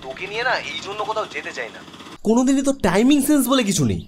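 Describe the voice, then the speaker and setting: Man acting a lively, quick young Bengali pal. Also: high-pitched, slight background noise. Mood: annoyed. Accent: West Bengal Bengali. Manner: breezy, colloquial. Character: upbeat, teasing young male best friend. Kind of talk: animated cartoon dialogue.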